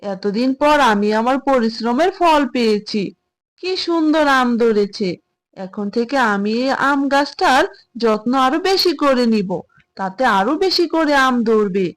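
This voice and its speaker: A woman plays a greedy tiger husband from a cartoon fable, boastful and scornful. The voice is high for an adult and exclaims its way through each phrase, full of self-importance. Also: expressive. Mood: gleeful, gloating. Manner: boastful, exclaiming, with drawn-out laughs. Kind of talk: Bangla cartoon fable voice acting.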